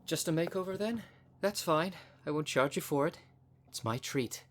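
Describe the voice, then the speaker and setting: A man's voice: fairly high pitch, lively intonation, normal speed, fairly bright tone, slight background noise. A man voicing a vain, flirtatious, snobbish werecat socialite in a scripted roleplay spoken to the listener, with a pompous, haughty manner. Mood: teasing, snobbish. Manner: pompous, haughty, smooth-talking. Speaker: voice actor in audio roleplay.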